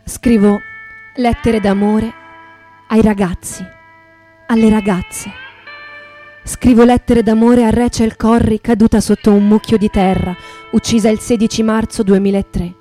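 A woman performing a dramatic literary recitation in radio theatre, speaking in a poetic, deliberate, litany-like way. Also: fairly high pitch, little pitch movement, dark tone. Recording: voice over acoustic guitar music. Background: acoustic guitar.

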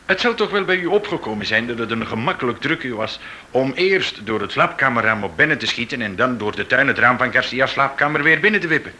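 A man's voice: medium pitch, expressive, a little fast, bright, forceful tone. An actor playing a forward, cocky man in a radio drama, theatrical and insinuating in manner. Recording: noisy old radio play recording.

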